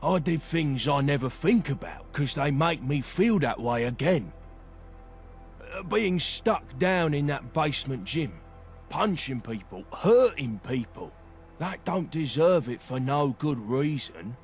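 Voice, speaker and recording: A man's voice: fairly high pitch, expressive, a little slow, soft background music. A man playing an insecure, earnest young brawler in a video game, speaking plainly and hesitantly, stumbling over his words. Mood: troubled, vulnerable. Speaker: video game companion character. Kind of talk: game voice acting, halting heartfelt dialogue.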